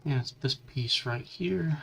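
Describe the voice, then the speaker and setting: A man talks through a casual hobby livestream from his workbench, his voice bright and forward. He is still getting over a cold, with a lingering cough and a stuffy nose. His chat comes in halting bits, as his hands stay busy. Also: medium pitch, creaky, slight background noise. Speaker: livestream host building a model kit.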